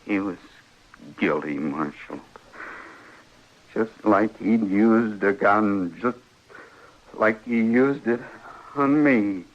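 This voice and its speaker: An actor playing a quiet, peculiar man fixed on justice in a radio western, speaking in a halting, repetitive, solemn way. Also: lowish voice. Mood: solemn, fixated. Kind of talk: 1950s radio western acting. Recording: dull old radio recording.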